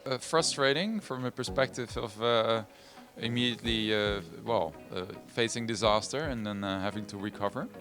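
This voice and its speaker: A man who played a game, describing what he took from it in a deliberate, hesitant way. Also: on the low side. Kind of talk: interview. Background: background music.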